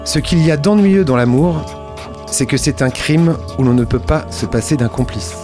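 A man's voice: fairly high pitch, animated, moderate pace, over music. A man hosting a radio show, talking in a loose, rambling way with many fillers and restarts. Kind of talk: casual radio chat.

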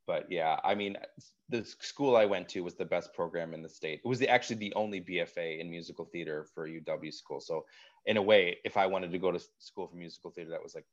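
A man in his forties from Wisconsin, a guest telling stories in casual conversation, with a deep voice and a chatty manner full of "like" and "um". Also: fast talker, lo-fi sound. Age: 40s. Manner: animated storyteller, frequent "like" and "um".

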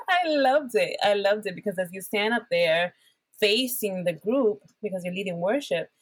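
A middle-aged woman from Puerto Rico, a worship pastor and podcast guest, chatting warmly and laughing often. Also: mid-range voice, normal speed, dry recording. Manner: warm, laughs often, animated. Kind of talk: casual chat.

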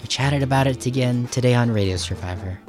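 A man's voice: mid-range voice, fast talker, music in the background. A man hosting a casual conversational interview, talking in a rambling, enthusiastic and self-deprecating way. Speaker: radio show host.

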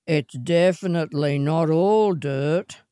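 A woman voicing a scruffy male wanderer and suitor in a storybook, boastful and coaxing, with measured delivery. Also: deep voice, dark tone, clean tone, no room sound, studio quality. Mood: calm. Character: scruffy swagman suitor, boastful, unhurried, persistent.

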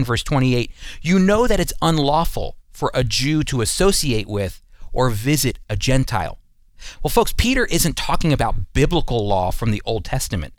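A man's voice: fairly high pitch, dry recording. A man hosting a radio Bible program, teaching in an earnest, emphatic, sermon-like way and addressing listeners directly. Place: studio.

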